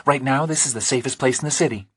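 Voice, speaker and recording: A man voicing a firm, protective, no-nonsense woman with a brisk manner in an audiobook thriller. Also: medium pitch, a bit bright, husky, no echo, pitched above the performer's own voice. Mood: firm, determined.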